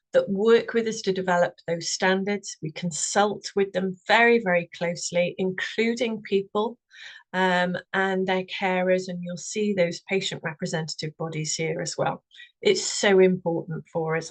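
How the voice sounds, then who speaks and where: A woman's voice: fairly low pitch, rough recording. A woman with a Welsh accent giving a friendly, earnest presentation on a video call, with many fillers and restarts. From Wales. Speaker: webinar speaker. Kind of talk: presentation to online audience.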